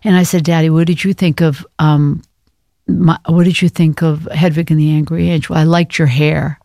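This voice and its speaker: An older woman from Maine, speaking as an interview guest, thoughtful and hesitant with many pauses. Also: deep voice, level tone, warm tone, no room sound, studio quality. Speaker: guest, actress. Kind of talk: reflective interview talk.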